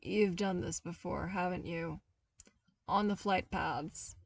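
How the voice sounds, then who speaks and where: A woman's voice: fairly low pitch, slow, vocal fry, no room sound, far from the mic, exaggerated voice. A woman voicing a blunt, wry young male trainee, grudgingly playful, in an audio story. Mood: wry, frank. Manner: blunt, dry, grumbling.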